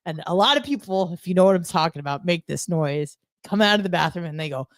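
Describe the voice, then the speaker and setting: Animated, foul-mouthed woman chatting. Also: on the low side, fast, no echo. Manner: crude, profane, animated storytelling. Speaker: co-host. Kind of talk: casual comic chat, telling an anecdote.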